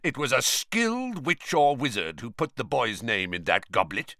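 A man voicing a gruff, suspicious old male wizard with a menacing growl. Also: mid-range voice, sing-song, moderate pace, sharp tone, no echo, studio quality.

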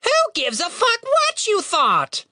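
A woman voicing an indignant, sarcastic male giant raven in an audiobook, with screeching, drawn-out delivery. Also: very high voice, wildly swooping pitch, pushed and bright, no echo, clean studio sound, pitched above the performer's own voice, exaggerated voice. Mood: mocking.

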